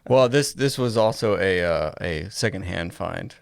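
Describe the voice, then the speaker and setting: A man's voice: deep voice, expressive, measured pace, gravelly, clear, resonant tone, no room sound. A man co-hosting a podcast with casual, jokey and agreeable banter. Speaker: podcast co-host.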